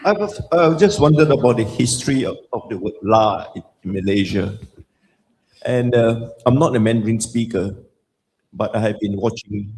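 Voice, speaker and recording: A man with a fairly low voice asks a curious, tentative question from the audience. The sound rings with a long reverb, as in a large hall. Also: darkish tone, a little hiss or hum. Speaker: audience member asking a question.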